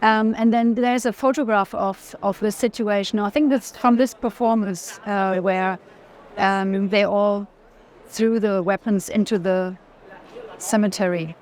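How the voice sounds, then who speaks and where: A woman talks through art in a medium-pitched voice, unscripted and conversational. She often pauses to search for a word, then rephrases and carries on. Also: fairly even intonation, darkish tone. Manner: hesitant, searching for words, rephrasing. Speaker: art collector in a gallery conversation. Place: art fair.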